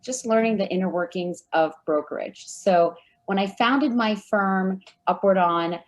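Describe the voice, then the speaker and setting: A woman giving friendly, measured answers as a guest in an interview. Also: medium pitch, low-quality recording. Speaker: guest, recruiting firm founder.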